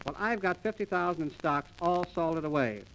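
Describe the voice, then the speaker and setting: A man's voice: a little high, moderate pace, fairly bright tone, slight background noise, low-quality recording. A man playing a brusque, boastful wealthy man in a 1930s radio comedy sketch, recorded on an old transcription disc. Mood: boastful, impatient. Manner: curt and dismissive.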